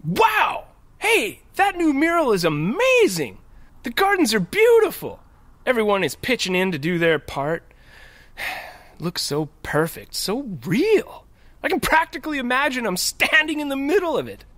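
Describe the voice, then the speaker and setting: Woman acting a perky, animated hospital worker. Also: high-pitched, a little slow, dry recording, full character voice. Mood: gushing. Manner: gushing, teasing. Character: perky, chatty, cheeky female maternity hospital worker. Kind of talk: audio drama acting.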